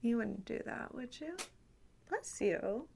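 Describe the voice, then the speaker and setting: A soft-spoken woman chatting casually in an ASMR style, often dropping into whispers, playful and doting toward the listener. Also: a little high, expressive, slow, gravelly, slight background noise. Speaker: ASMR creator talking to viewers. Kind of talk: casual rambling ASMR chat.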